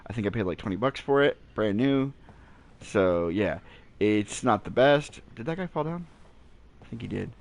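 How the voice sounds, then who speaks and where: Man rambling over gameplay on a headset. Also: moderate pace. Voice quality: naturally deep voice. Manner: relaxed, rambling, frequent fillers and restarts. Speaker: gaming channel host. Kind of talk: casual gameplay commentary. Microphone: cheap gaming headset. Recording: low-quality headset mic, faint hiss.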